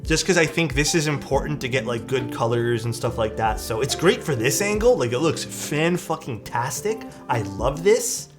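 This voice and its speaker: A young man, chatting casually as a livestream host, excitable and rambling with lots of "like" and "dude". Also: medium pitch, moderate pace, few pauses. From Canada. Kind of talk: casual livestream chat, reading chat messages. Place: his room at home. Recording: speech over background music. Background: background music.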